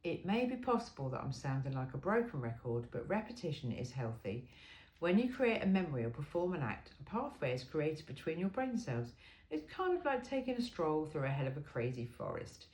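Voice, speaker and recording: A woman's voice: low-pitched, big pitch swings, a little fast, a little mellow, poor audio. A woman reading an informal self-help book aloud in an emphatic, cheeky and frank way. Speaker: amateur reader of a book aloud.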